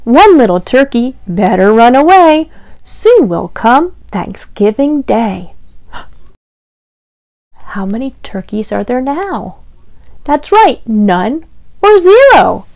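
A woman's voice: fairly high pitch, big pitch swings, slow pace, pauses often, a little mellow, dry recording. A young woman leading a children's storytime, reading aloud in a simple sing-song way and asking the little listeners questions. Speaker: children's librarian, storytime host. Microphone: lavalier clipped to T-shirt.

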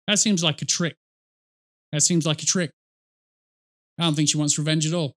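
A man's voice: a little high, steady tone, normal speed, fairly bright tone. A middle-aged man giving casual reaction commentary as a video host, speaking close to the mic.

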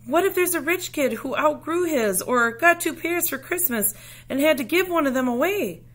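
A woman's voice: high voice, expressive, moderate pace, a bit bright, voice pushed up. Woman voicing an earnest, hopeful young storybook boy. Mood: wistful.

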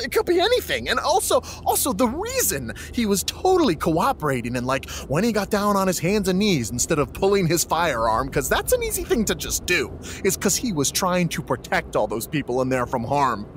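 A devoted, excitable cop buddy in a naturalistic comic audio drama. His voice sits a little high and swings widely in pitch as he rambles earnestly in slang. Clear vehicle noise runs behind him. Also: normal speed, full character voice. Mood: earnestly defensive. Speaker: actor in a scripted audio drama.

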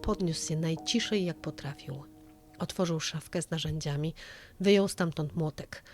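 Low-voiced woman narrating true crime over music. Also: moderate pace, a little mellow.

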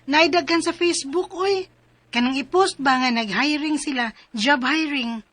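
A carefree young job seeker , played by a woman, talks her friend into work with easy reassurance. Her voice is high and bright. The recording is dry and close, like a radio drama. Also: a little slow, dry recording. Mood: breezy.

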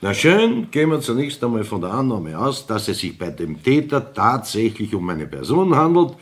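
An actor playing a senior police councillor with a Viennese accent, brisk, dry and authoritative, in a radio crime drama. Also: male voice, mid-range voice, very animated. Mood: businesslike.

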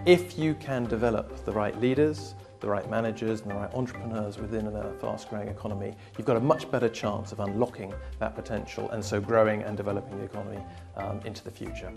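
Fast-talking man with creaky voice. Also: on the low side, steady tone, music in the background. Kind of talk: interview answers for a promotional film.

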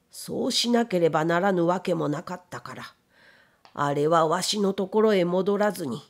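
A woman's voice: on the low side, a little mellow. A woman reading the part of a proud, bitter, grieving old blind factory owner in a storybook, with weary old-fashioned speech. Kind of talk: dramatic literary reading.

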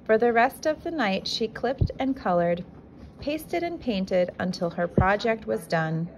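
A woman who is a kindergarten teacher reads a picture book aloud to young children with expressive, deliberate storytelling. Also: on the high side. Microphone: handheld phone filming the book. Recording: noticeable background noise, amateur phone recording.

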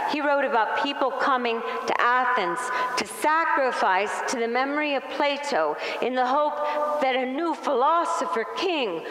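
A middle-aged woman pastor preaching a sermon from the pulpit in an earnest, measured way, with emphatic rises. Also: high voice, fairly bright tone. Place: large wood-paneled church sanctuary. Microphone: pulpit microphone, filmed by a far camera. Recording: echoey church hall from afar.

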